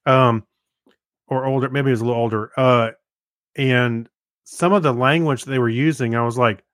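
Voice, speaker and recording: A man co-hosting a casual podcast, reading items and chatting haltingly, often stumbling over his words. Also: mid-range voice, steady tone, a bit bright, dry recording, clean studio sound. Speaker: podcast co-host.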